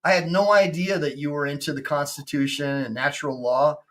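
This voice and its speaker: A man in his fifties, a personal injury attorney hosting a casual video-call chat, excitable and full of stammering restarts. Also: a little high, brisk. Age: 50s.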